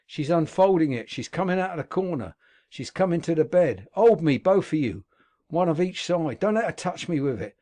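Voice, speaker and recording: A man's voice: medium pitch, moderate pace, a little mellow, natural voice. A man voicing a dying, terrified man who raves and pleads in panic. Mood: frantic terror. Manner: frantic, raving, pleading.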